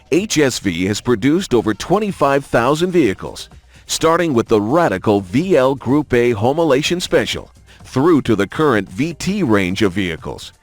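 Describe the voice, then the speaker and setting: A man delivers scripted promotional narration for a car showcase in a mid-pitched voice. His intonation is lively, rising and falling widely as he reads. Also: no echo.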